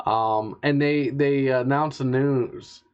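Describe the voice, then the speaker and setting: A man's voice: medium pitch, steady tone, slow, darkish tone, smooth. A man hosting a casual radio show, reading news items with many ums and restarts. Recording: dull lo-fi stream audio.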